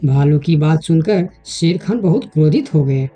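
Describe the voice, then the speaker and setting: A woman's voice: low-pitched, animated, moderate pace, warm tone, soft background music. A woman reading scripted narration for a children's animal fable. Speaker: story narrator.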